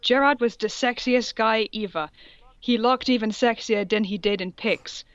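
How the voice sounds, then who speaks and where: High-voiced sardonic woman reading aloud. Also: normal speed, no echo, dull audio. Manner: sardonic, sweary, theatrical. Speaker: co-host reading fan fiction aloud. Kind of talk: casual comic read-aloud with mocking asides.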